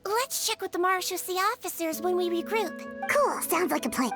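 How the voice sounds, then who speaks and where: A woman voicing a tiny, perky, chatty fairy companion with a childlike, squeaky voice in a video game. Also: expressive, fairly quick. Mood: chirpy. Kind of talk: video game character dialogue. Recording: music behind the voice.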